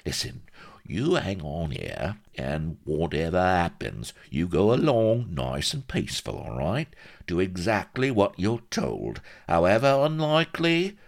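Audiobook shyster: shifty, unctuous schemer, wheedling and colloquial, pitched well up with big swoops. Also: male voice, huge pitch swings, measured pace, vocal fry, heavily acted. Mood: sly, wheedling.

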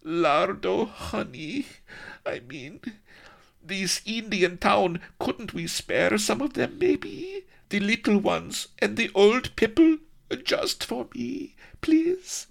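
A man's voice: high-pitched, lively intonation, slow, vocal fry, pitched above the performer's own voice, exaggerated voice. A man doing a frail, faltering young lady. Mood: pleading. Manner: weak, faltering, pleading. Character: frail bewildered young noblewoman.

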